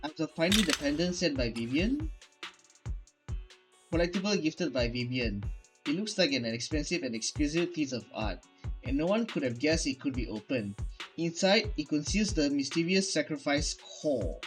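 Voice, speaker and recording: A man's voice: fairly high pitch, animated, normal speed, faint music behind. A male gaming YouTuber giving casual commentary and reading game text aloud.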